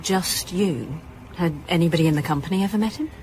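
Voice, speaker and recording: Casting: actress as senior police detective, female, slightly deep voice, probing and dryly insistent, audio drama. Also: a little fast, darkish tone, slight background noise, lightly acted, low-quality recording. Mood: politely insistent. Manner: questioning, direct. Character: probing female detective chief inspector, direct, dryly persistent. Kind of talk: naturalistic radio drama acting.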